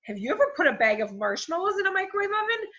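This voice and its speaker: A woman voicing a boastful young schoolboy, loud and excitable, on a dull lo-fi recording. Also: extremely high pitch, fast talker, pitched above the performer's own voice, exaggerated voice. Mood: excited.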